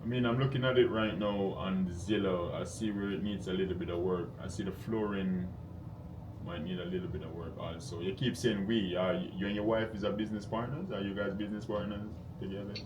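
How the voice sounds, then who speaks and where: A man's voice: sits low, steady tone, a little fast. A man on a sales call as an investor, talking in a direct, probing, conversational way and explaining his thinking. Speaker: real estate investor cold calling. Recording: clear background noise on call recording.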